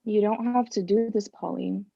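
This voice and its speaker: A woman's voice: medium pitch, steady tone, normal speed, vocal fry, dark tone, breathy edge, no room sound. A woman playing a dry, hard-line radical separatist in a live staged play reading. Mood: protective. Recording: dry, slightly dull microphone.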